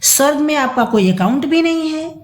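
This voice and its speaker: A woman's voice: high voice, lively intonation, normal speed, pitched above the performer's own voice. A woman voicing a shameless, entitled grown son with a brazen, irritated manner in an audio story. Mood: indignant, shameless. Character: shameless, entitled adult son demanding his inheritance. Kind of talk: dramatised audio story reading. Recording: roomy echo.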